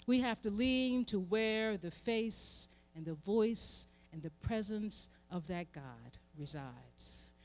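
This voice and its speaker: A woman with an American accent delivers an impassioned sermon, using emphatic repetition and swelling from hushed to forceful. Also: a little high. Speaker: reverend speaking at a memorial event. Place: live event before an audience.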